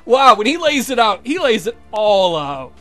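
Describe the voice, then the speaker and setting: High-voiced man joking through a game. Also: very animated, normal speed, bright, forceful tone, faint music behind. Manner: irreverent, crude joking. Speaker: let's play host. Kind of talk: casual joking game commentary.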